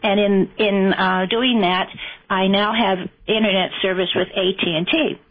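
A woman's voice: medium pitch, fairly even intonation, unhurried. An older woman calling into a radio show, hesitant with many ums as she asks her question. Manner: hesitant, lots of ums, sentimental. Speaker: caller. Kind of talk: phone-in question. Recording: dull lo-fi call audio.

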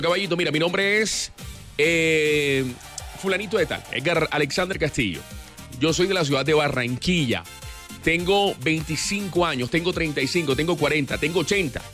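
Upbeat radio host, bright and high. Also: male voice. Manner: animated, upbeat, breaks into short sung lines. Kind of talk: lively radio presenting. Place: radio studio.

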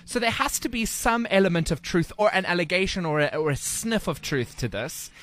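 A man hosting a radio show, chatting casually with a co-host, often laughing and pausing with ums. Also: high voice, expressive, a bit bright.

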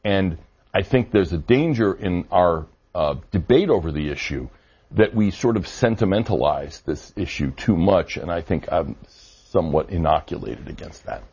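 A man answering interview questions on policy, measured and argumentative, with frequent fillers. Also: low voice, animated, darkish tone, breathy edge. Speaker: immigration policy guest. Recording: dull lo-fi audio.

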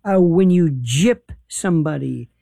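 A man hosts a casual talk, his voice mellow and warm. He is animated and halting, starting sentences over and filling gaps with "uh". The sound is dry and close. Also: a little high, creaky, dry recording. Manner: animated, halting, many restarts and fillers. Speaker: host. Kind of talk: casual conversation.

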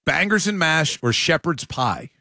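A man hosting a sports radio show, leading casual, joking banter at a quick, upbeat clip. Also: fairly high pitch, animated, creaky, bright, forceful tone, no echo, studio quality. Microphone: studio microphone.

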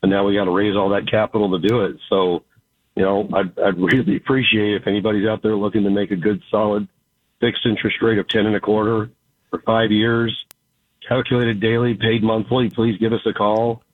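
Raspy man on a tinny phone line. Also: on the low side, brisk, no echo. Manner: relaxed, grateful, hesitant with many fillers. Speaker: company founder, radio guest. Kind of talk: casual radio interview.

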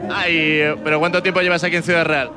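A man working as a street reporter, interviewing people at an outdoor festival with loud music going on, talking hesitantly with many restarts. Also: fairly high pitch, flat delivery, quick pace. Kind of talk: on-location radio interviews.

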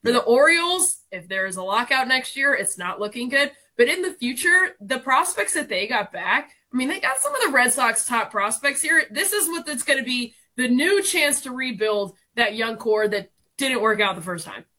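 A woman's voice: a little high, expressive, moderate pace, few pauses, pushed and bright. A woman in her twenties giving conversational sports analysis as a radio guest, explaining things emphatically and informally. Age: 20s.

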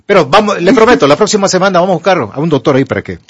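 An older man with a Honduran Spanish accent, a doctor on a radio call-in show who gives health advice in an emphatic, animated way. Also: sits high, racing, breathy edge, no room sound. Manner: animated, emphatic, rises to pleading.

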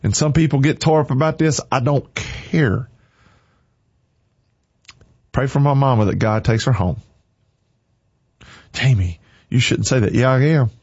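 A man's voice: mid-range voice, measured pace, long pauses, darkish tone, slightly rough, no room sound. Male morning radio host, preachy and fervent, dry close studio sound. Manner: fervent, preachy, repeats short commands. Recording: dry, slightly muffled studio.

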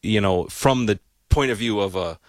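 A man's voice: on the low side, normal speed, dry recording. A man, a columnist guesting on a morning radio show, telling stories in a wry, easygoing way. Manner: wry, storytelling. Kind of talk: casual radio conversation.

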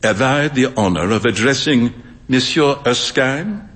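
A man's voice: medium pitch, lively intonation, unhurried, a bit bright. A man doing a polite old Frenchman. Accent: slight French. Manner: formal, polite. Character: courteous old French gentleman messenger. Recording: long stage reverb in a hall.

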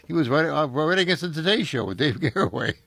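A man's voice: on the high side, expressive, fast talker, gravelly, a bit bright, breathy edge, dry recording. An older man, hosting a casual chat and reminiscing in a rambling way, full of fillers and restarts.

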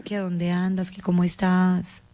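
A woman's voice: lowish voice, monotone, unhurried, dark tone. A woman with a Colombian paisa accent, calling on a landline and coyly making a man guess who she is. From Antioquia, Colombia. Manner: coy, insistent, keeps her identity a mystery. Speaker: caller. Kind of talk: teasing phone call. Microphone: landline phone.

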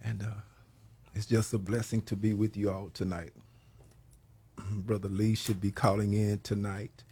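A hoarse man leading a prayer and preaching on a program, slow and halting, with many pauses. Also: fairly low pitch, little pitch movement. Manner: grows louder and more emphatic while preaching. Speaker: pastor hosting a prayer program. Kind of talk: prayer and sermon talk.

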